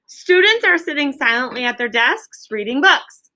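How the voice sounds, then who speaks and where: An American woman teaching an elementary class, giving clear instructions and spelling things out for the children. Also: high voice, very animated, sharp tone, slight background noise. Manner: clear instructing, exaggerated drawn-out modeling. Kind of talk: interactive classroom lesson. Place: school classroom with pupils.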